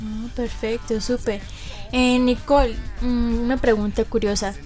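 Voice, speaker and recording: A woman's voice: a little high, steady tone, unhurried, darkish tone, faint music behind. A woman hosting an interview, friendly, backing the guest with "ujú" and "súper". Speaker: radio host. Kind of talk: interview chat.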